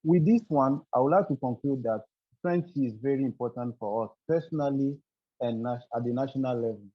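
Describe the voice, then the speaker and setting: A man's voice: medium pitch, fairly quick, no echo. Earnest man on a dull call. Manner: earnest, repeats words while searching for them. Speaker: webinar guest speaker. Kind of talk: formal presentation. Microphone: video call. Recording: dull dry video call audio.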